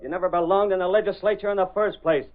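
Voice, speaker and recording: A man acts out an eager, brash newshound in a golden-age radio serial. His voice sits high and hits hard with slangy, accusing punch. The recording is muffled and old. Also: fairly even intonation, quick pace, lightly acted. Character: brash, eager male newspaper reporter, driven and triumphant. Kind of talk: 1930s-40s radio drama acting.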